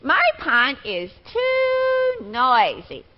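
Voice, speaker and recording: A fed-up frog from a storybook, played by a woman, complains in a fairly high voice. The words are stretched out very slowly and theatrically, with a slightly dull sound. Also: huge pitch swings, heavily acted. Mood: complaining. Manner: drawn-out, exaggerated complaining. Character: frog fed up with its noisy pond.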